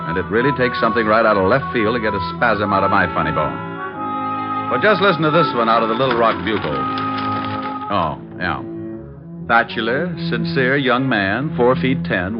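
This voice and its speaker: A man's voice: mid-range voice, close to an everyday voice. An American man playing a sardonic, world-weary private detective in old-time radio drama, dry and flippant. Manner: dry, flippant, sarcastic asides. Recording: muffled vintage radio recording.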